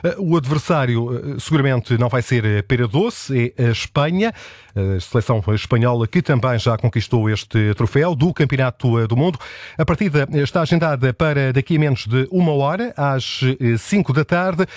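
A man presenting the radio news from a studio, measured and pausing often on fillers. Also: mid-range voice, darkish tone, no room sound. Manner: measured, with frequent hesitation fillers. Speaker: news anchor. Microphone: studio microphone.

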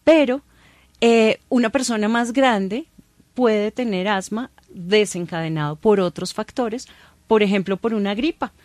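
A woman doctor, calmly explaining things to a host in an interview, using everyday examples. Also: mid-range voice, unhurried, dry recording. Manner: calm, patient, explains plainly with everyday examples. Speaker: doctor, guest expert.

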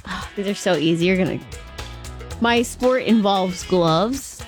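An upbeat woman radio host runs a trivia bit with a caller, teasing out clues and cheering each right answer. She speaks into a studio mic, and a faint music bed plays softly behind her. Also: medium pitch. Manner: playful quizzing, quick to cheer. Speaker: radio morning show host. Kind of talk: upbeat radio contest banter. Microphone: studio microphone.

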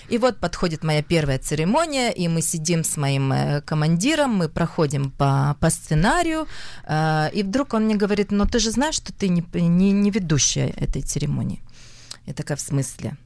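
A woman's voice: lowish voice, sing-song, normal speed, darkish tone, dry recording. Woman with a Russian accent, hesitant. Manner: hesitant, searching for words, frequent fillers. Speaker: actress, interview guest. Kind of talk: conversational interview.

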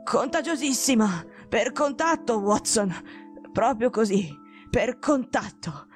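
A woman voicing a gravely ill, imperious male detective in an audiobook, weak, hoarse and halting. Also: on the high side, expressive, faint music behind. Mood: feverish, gasping. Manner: curt, commanding, halting.

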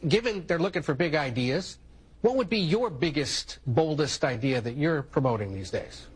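A man, a news anchor, asking probing, measured interview questions. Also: on the high side, lively intonation, breathy edge. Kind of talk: broadcast interview.

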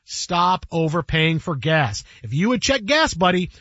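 A man with a high-pitched, rough-edged voice hosting sports radio. He banters and delivers ad reads in a slightly dull broadcast sound with faint music. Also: big pitch swings, unhurried, sharp tone, no echo. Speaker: sports talk radio host.

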